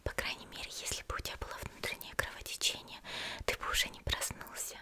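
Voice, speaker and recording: A woman whispering in an ASMR roleplay as a gentle, composed young female demon slayer healer, slow, soothing and politely teasing, close to the microphone. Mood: calm, reassuring.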